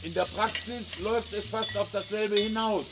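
High-pitched sardonic researcher played by a man. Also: steady tone, normal speed, natural voice. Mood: dryly ironic. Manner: sardonic, explanatory. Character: knowing, dryly ironic male researcher friend. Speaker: actor in a radio crime drama. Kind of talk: radio drama acting. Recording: clear sizzling kitchen noise.

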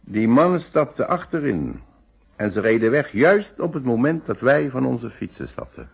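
A man's voice: medium pitch, big pitch swings, normal speed, vocal fry, breathy edge, heavily acted. An actor playing a hesitant, polite middle-aged married man answering questions as a witness in a Dutch radio play. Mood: careful, cooperative.

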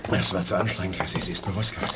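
A man's voice: sits low, steady tone, fast, in a large hall, very noisy, natural voice. A man playing an earnest telepath. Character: male telepath, earnest and reassuring. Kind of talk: 1980s radio drama acting.

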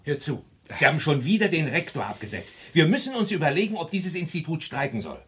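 An agitated academic in a radio play. He speaks quickly in a fairly high voice with lively, insistent intonation, over faint background noise. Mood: urgent. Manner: urgent, insistent. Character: urgent, agitated male university colleague. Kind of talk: naturalistic radio drama dialogue.

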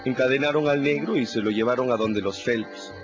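A man's voice: medium pitch, fairly quick, natural voice. An actor playing a blunt, matter-of-fact man, part of a radio drama. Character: plain-spoken man, a slave catcher's hand, blunt. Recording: muffled radio audio with music.

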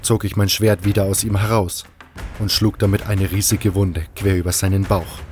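Low-pitched male audiobook narrator with music. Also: level tone, moderate pace, darkish tone. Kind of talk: scripted first-person fantasy audio drama narration.

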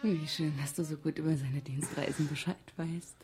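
A woman's voice: low-pitched, fairly even intonation, moderate pace, a little mellow, a little hiss or hum, natural voice. An actress playing a flirtatious, bored wife who cheats on her dull husband, teasing and coquettish in a radio crime drama. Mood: intimate, coquettish.

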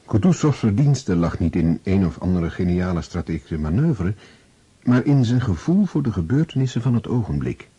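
Deep, very husky male narrator. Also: sing-song, warm tone, lo-fi sound. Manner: measured, serious. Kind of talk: scripted literary narration for radio drama.

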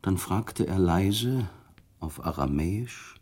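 A man narrating a literary radio drama in a measured, solemn storytelling manner. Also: low-pitched, animated, a little mellow.

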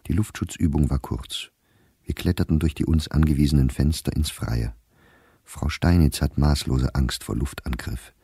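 Persecuted middle-aged diarist, very low mellow voice, terse, weary, restrained, radio play reading. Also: male voice, slightly rough, dry recording, studio quality, heavily acted. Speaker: diary reader in a radio play. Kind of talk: sober literary diary reading.

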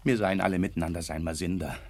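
An actor playing a gentle, pious, devoted suitor in a German radio tragedy, earnest and anxious, with a Silesian accent. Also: male voice, deep voice, steady tone, quick pace. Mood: pleading, tender. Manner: earnest, pleading. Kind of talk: German radio play acting, dialect tragedy.

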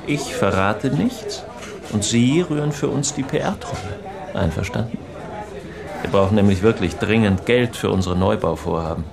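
Radio drama role: smug, insinuating doctor, lowish and gravelly-edged yet sonorous, murmuring people in background. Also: male voice, expressive, normal speed, crowd noise. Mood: smug, knowing. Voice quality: smooth, sonorous. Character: smug, oily male hospital doctor and scientist. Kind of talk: radio crime drama dialogue.

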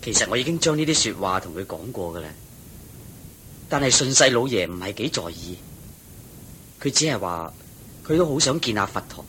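Lively-toned, respectful monk disciple Ananda. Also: male voice, fairly quick, long pauses. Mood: respectful reporting. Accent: Hong Kong Cantonese. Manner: deferential, inquiring. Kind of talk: Buddhist radio drama acting. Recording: noisy recording with low rumble.

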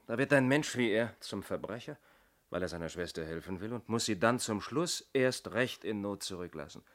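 An actor playing an inspector's sympathetic male colleague in a radio crime drama, with a measured, questioning manner. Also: on the low side, expressive, close to an everyday voice. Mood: sober, sympathetic.

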